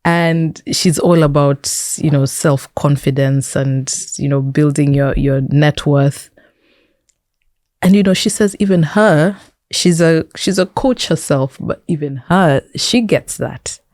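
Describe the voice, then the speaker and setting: A woman's voice: deep voice, slow, darkish tone, no room sound, clean studio sound. A woman with a Kenyan accent speaking as an interview guest, explaining things conversationally with examples and emphatic repetition. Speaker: interview guest, tech entrepreneur and founder.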